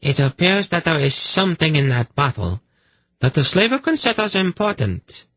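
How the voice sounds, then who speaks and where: A relentless, imperious priestess from a fantasy storybook, played by a man. The voice is pushed high and swoops widely. She gives orders in a triumphant, accusing manner. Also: very animated, moderate pace, a bit bright, no room sound. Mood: triumphant, commanding. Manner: triumphant, commanding.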